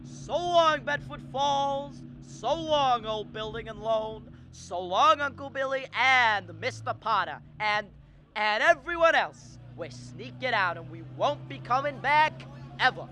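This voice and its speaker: A man's voice: very high-pitched, lively intonation, measured pace, pushed and bright, slight background noise, full character voice. A teenage student actor playing a stubborn, restless young small-town man in a radio drama, stammering and emphatic. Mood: elated.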